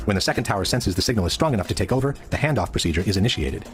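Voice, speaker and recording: Fast-talking male documentary narrator. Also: fairly low pitch, animated. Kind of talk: scripted documentary narration, sped up. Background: background music.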